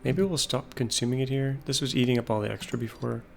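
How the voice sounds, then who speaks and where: A man's voice: medium pitch, steady tone, quick pace, creaky. A man thinking aloud as he plays a factory-building game, casually musing over his production lines, says he is losing his voice. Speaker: gameplay video host. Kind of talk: casual let's-play commentary.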